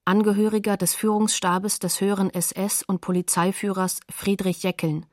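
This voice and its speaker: A woman's voice: medium pitch, flat delivery, normal speed, a little mellow, no room sound, studio quality. A woman announcing sources in a factual, formal scripted narration for a radio documentary.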